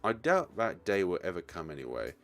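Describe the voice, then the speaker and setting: A man's voice: low voice, wildly swooping pitch, fairly quick, faint music behind. A man reading story text aloud as a let's play host, with casual reactions and groaning asides.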